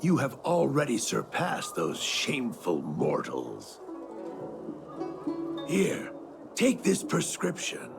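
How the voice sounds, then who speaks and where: A man acting as a zealous cult disciple and former spearman in a video game, with a gravelly voice and deliberate, solemn, theatrical delivery. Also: medium pitch, wildly swooping pitch, full character voice. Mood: fervent, reverent. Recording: loud music under the voice.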